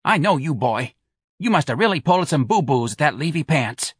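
A man voicing a loud, blunt, working-class middle-aged mother who scolds her grown son in a shrill voice. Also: very animated, brisk, slightly rough, dry recording, studio quality. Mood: scolding.

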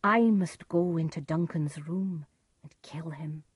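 A woman voicing a fearful, guilt-ridden murderer lord in a storybook, hushed and haunted. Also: deep voice, takes it slowly, husky, no echo, full character voice. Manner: hushed, haunted, often whispering.

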